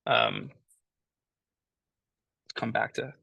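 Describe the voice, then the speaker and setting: A man giving an informal lesson as an audio engineer, explaining his tools in a halting way as he thinks aloud. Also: medium pitch, steady tone, creaky, husky, no echo, highs cut off. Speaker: mixing engineer teaching a class.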